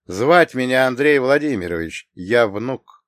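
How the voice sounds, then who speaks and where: Young nobleman read by a male narrator: wry and self-assured, emphatic animated lines, close dry audiobook sound. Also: mid-range voice, unhurried, no echo, pitched above the performer's own voice, natural voice. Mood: matter-of-fact. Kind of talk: acted audiobook dialogue.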